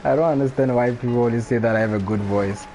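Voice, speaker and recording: A man's voice: mid-range voice, quick pace, warm tone, low-quality recording. A man from Botswana with a Botswana English accent, chatting casually and laughing often while live-streaming a game. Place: home room during a rainstorm. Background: faint rain and ambient noise.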